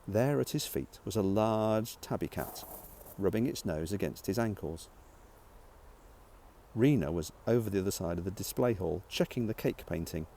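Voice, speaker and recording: A man's voice: lowish voice, mellow. A man who is an author, narrating their own light-hearted sci-fi novel as an audiobook.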